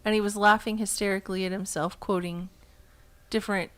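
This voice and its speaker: A woman co-hosting a friendly podcast in a mid-pitched voice. She teases the others and laughs often as she talks. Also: fairly even intonation, brisk, fairly bright tone, smooth. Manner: teasing, laughs a lot. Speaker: podcast co-host. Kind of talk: casual chat among friends.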